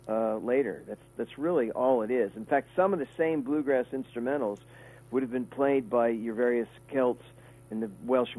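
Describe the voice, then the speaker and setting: A man chats as a guest on a radio show. He rambles easily with plenty of fillers and restarts. His voice sits at an ordinary middle pitch. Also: a little mellow, low-quality recording. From Kentucky, USA. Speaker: guest musician. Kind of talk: casual radio interview.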